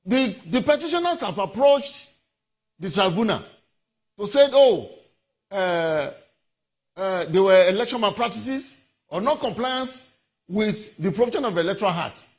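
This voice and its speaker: A man with a Nigerian accent giving formal legal commentary as an interview guest, deliberate and emphatic, often halting and repeating words. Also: sits high, expressive.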